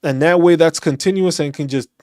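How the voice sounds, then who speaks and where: Animated New man ranting, dry sound. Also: fairly high pitch, a little fast, no room sound. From New York City. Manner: animated, ranting, repeats phrases and restarts. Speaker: veterans' channel host. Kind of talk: casual opinion talk.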